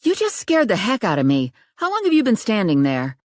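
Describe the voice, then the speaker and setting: A woman's voice: medium pitch, wildly swooping pitch, a little fast, a little mellow, dry recording, studio quality, pitched above the performer's own voice. A woman playing a frazzled, blunt young professional woman in audiobook dialogue, with an exasperated manner. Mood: startled.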